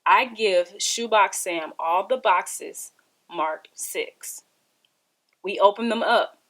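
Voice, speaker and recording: A woman reading a children's story aloud in an expressive, deliberate storytelling style. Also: medium pitch, low-quality recording. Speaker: read-aloud storybook reader.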